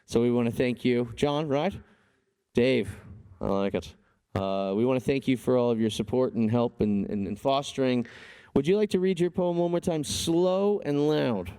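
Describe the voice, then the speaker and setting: A man emceeing a live event, upbeat and hyping the crowd, with a smooth, warm voice. He keeps the proceedings moving. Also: mid-range voice, normal speed. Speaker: event host.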